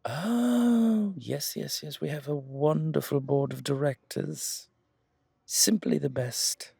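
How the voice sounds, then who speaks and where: Guy acting as a gushy flattering museum curator man, kinda raspy, really dry close sound, flirty and genteel, roleplay audio. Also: a little high, no room sound, exaggerated voice. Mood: flattering, discreet. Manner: genteel, gushing, hesitant pauses, repeats words. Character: effusive, flattering, flirtatious male museum curator, fawning donation-seeker.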